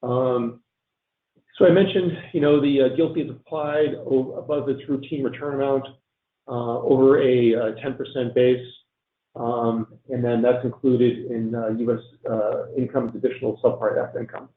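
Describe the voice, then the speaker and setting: A man presenting on a tax webinar, halting and full of ums and restarts, a bit hoarse from losing his voice. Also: mid-range voice, fairly even intonation. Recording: echoey, tinny phone-line audio.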